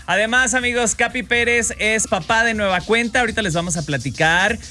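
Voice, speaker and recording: A man in his forties with a Mexican Spanish accent, hosting a radio gossip show in a chatty, upbeat way with quick asides. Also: high-pitched, sharp tone, soft background music. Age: 40s. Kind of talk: casual gossip radio chat.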